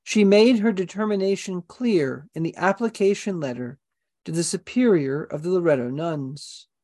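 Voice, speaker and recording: A woman narrating a documentary script in a slow, solemn reading voice. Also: on the low side, dark tone.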